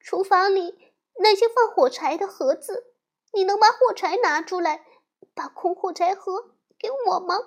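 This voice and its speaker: A woman's voice: very high voice, unhurried, pitched above the performer's own voice. A woman voicing a little girl bear cub who is grieving her goldfish, tearful and pleading. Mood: sad, hushed.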